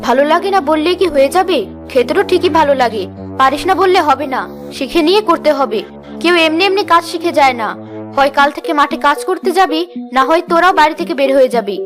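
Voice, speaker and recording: A stern cartoon mother of grown sons acted by a woman, speaking in a high voice. She talks fast and bluntly, with a scolding, sarcastic edge. Music plays behind her. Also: animated. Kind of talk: Bengali cartoon fairy tale acting.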